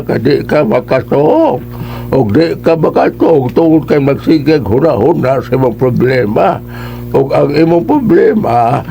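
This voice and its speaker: A man playing a muddled village healer in a comic Cebuano radio drama, creaky and repetitive as he explains. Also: on the high side, mellow, exaggerated voice.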